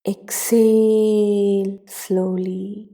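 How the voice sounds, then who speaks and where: A woman leads a guided meditation in a relaxed, mellow voice of medium pitch. Her delivery is extremely slow and deliberate. She speaks in short, soothing phrases with long pauses between them.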